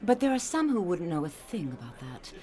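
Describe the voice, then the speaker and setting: A woman's voice: on the low side, sing-song, brisk, mellow. An actress playing a stern, haughty, disapproving wealthy mother of a grown son in a radio drama, with clipped, scolding delivery. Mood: disappointed, resolute.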